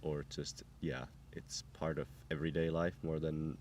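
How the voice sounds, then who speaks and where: A man with a very deep voice, talking about his sauna business in a relaxed, halting way and repeating words as he goes. He is picked up by a distant mic outdoors, with a faint hum of surroundings behind him. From Finland. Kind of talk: casual interview. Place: outdoors on a farm.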